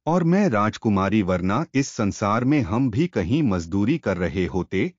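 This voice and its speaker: A man reads the part of a devout young princess in a storybook tale. The delivery is modest yet principled and formal, with lively intonation. The audio is dry and slightly lo-fi. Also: on the low side, measured pace, no room sound. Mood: humble, earnest.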